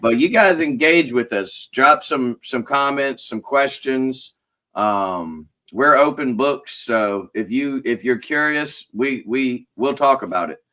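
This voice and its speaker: Sniffly, chatty man host with a bright voice. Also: medium pitch, expressive, slow pace. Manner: chatty, informal, rambling. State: sniffling, stuffy. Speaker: host of a business owners' live chat. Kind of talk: casual chat and spoken prayer.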